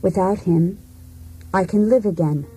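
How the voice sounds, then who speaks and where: An actress playing a cold, disillusioned wife set on breaking free, in a deliberate, introspective radio drama monologue. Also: female voice, medium pitch, dark tone, faint music behind, natural voice, low-quality recording. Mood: resolute.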